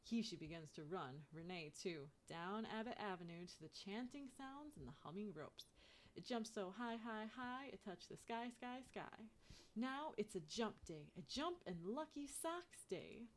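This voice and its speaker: A woman reading a children's picture book aloud in an expressive way, turning sing-song on chants. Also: mid-range voice, a little slow, slight background noise. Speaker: storybook reader.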